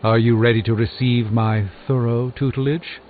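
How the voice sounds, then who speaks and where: An actor playing a pompous, learned sorcerer in a video game, speaking in a grandiose, lecturing way. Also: male voice, mid-range voice, fairly even intonation, moderate pace, close to an everyday voice. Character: pompous, theatrical, learned male sorcerer and mystic. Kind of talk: theatrical video game dialogue. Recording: thin phone-like band, music behind.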